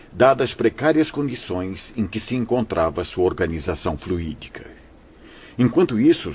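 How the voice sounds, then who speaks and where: A man's voice: fairly low pitch, darkish tone, breathy edge, dull audio. A man playing a wise, benevolent spirit mentor in an audio drama, speaking in a solemn, formal way. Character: wise, benevolent male spirit mentor narrating past events.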